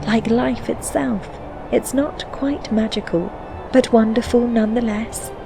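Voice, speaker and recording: A woman playing a reflective narrator who recites melancholy fantasy poetry in a measured, solemn, lyrical way for a video game. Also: fairly high pitch, fairly even intonation, creaky, noisy background. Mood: gentle wonder. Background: music.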